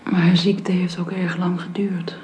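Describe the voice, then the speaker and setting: An actress in a radio drama playing an ailing young woman, fragile but brave, with a breathy, faltering delivery. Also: fairly low pitch, flat delivery, measured pace, warm tone, natural voice. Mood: subdued. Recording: faintly noisy old radio recording.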